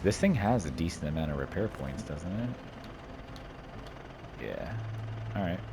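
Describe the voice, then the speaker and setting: A man's voice: low-pitched, animated, unhurried, gravelly, noisy background. A man giving casual, off-the-cuff commentary as he plays a game, rambling hesitantly and repeating himself. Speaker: gaming video host.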